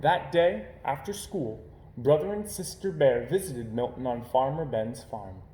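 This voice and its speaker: A man reading a children's story aloud as storyteller, with expressive narration. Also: medium pitch, measured pace, slight background noise. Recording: roomy, echoing home recording.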